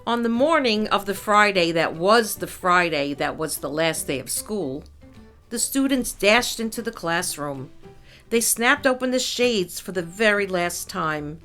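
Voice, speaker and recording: A woman reading a children's picture book aloud as a narrator, in a measured storytelling style with clear emphasis. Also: medium pitch, lively intonation, pushed and bright, soft background music.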